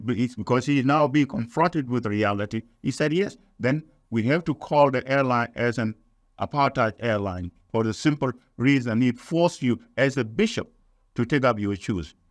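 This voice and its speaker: A man from Sudan, giving deliberate, emphatic interview answers in nonstandard English. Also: mid-range voice, no echo. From South Sudan. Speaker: guest, activist.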